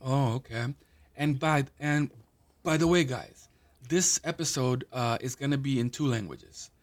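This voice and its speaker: A man's voice: medium pitch, a little slow. Chatty man interviewing his father. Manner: chatty, friendly questioning, switches into Urdu. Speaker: podcast host. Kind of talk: casual interview.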